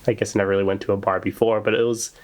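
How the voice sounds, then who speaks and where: A man's voice: fairly low pitch, little pitch movement, very fast, a little mellow. Young man hosting a casual podcast. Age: young adult. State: recovering from a cold. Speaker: podcast host. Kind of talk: casual chat.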